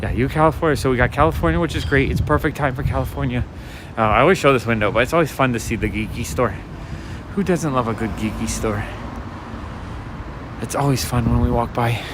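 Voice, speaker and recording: A man with a mid-pitched, raspy voice talks softly and casually to his viewers as he strolls. He rambles from one thing to the next in an easygoing way. His phone picks up clear street traffic around him on a night-time city walk. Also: brisk. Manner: casual, chatty, talks to viewers while walking. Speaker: walking vlogger and livestreamer. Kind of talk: soft-spoken rambling walk-and-talk commentary. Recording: street noise and passing traffic. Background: city street ambience and vehicles.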